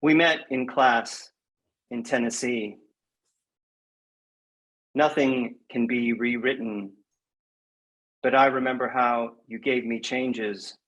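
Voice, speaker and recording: A man speaking as a guest poet in a measured, reflective, slightly hesitant way, as at a reading or interview. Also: medium pitch, fairly bright tone, rough recording. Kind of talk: poetry reading and conversational interview.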